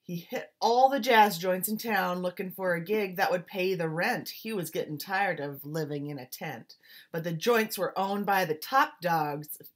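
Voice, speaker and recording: A woman teacher reading a picture book aloud in an expressive, rhythmic storytelling style, leaning on the rhymes and beats. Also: fairly low pitch, normal speed.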